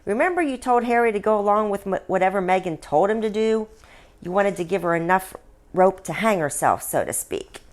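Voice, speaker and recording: A sly ghostly princess in a satirical fairy tale, played by a woman storyteller. She gossips in an arch, knowing way, with a very animated pitch. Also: brisk, lightly acted. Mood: confiding. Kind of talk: storybook dialogue reading.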